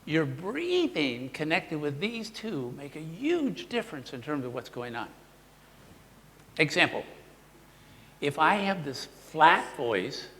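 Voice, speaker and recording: Animated man teaching in a hall. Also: a little high, a little hiss or hum. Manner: animated, demonstrative, models voices for the audience. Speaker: workshop presenter. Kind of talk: live workshop teaching with demonstrations.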